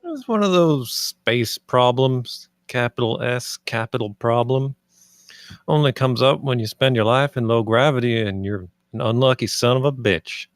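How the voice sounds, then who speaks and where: A man acting an easygoing, ailing space colonist in a script read. His mid-pitched voice is wry and matter-of-fact, recorded dry. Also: measured pace, dry recording, natural voice. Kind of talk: screenplay table read.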